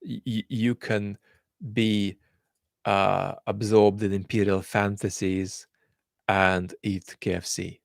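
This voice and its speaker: A man whose first language is Russian, hosting a casual live Q&A and thinking aloud in a hesitant, reflective way with frequent pauses and restarts. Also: fairly low pitch, level tone, gravelly, no room sound, clean studio sound. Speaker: host reading viewer questions.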